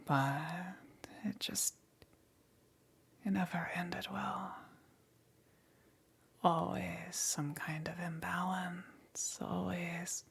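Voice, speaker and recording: A woman's voice: lowish voice, exaggerated voice. Woman acting a whispering, possessive demon queen. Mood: wistful. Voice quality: breathy, whispery, husky. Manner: slow, deliberate, softly condescending. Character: seductive, possessive, melancholy demon queen keeping a pet. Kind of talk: whispered ASMR roleplay.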